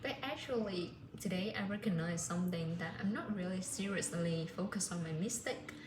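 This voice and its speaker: A woman chats casually, her speech full of fillers and loose restarts. Her voice sits a little low. The recording sounds echoey, with steady noise in the background. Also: moderate pace. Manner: rambling, many fillers and "like". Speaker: language learner vlogger. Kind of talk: casual vlog chat.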